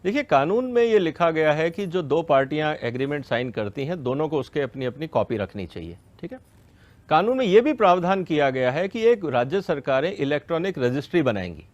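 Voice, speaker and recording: A man's voice: on the high side, big pitch swings, brisk, no echo, lo-fi sound. A man giving an educational question-and-answer explanation, didactic and step by step, often checking that listeners follow. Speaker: expert explaining a law to farmers.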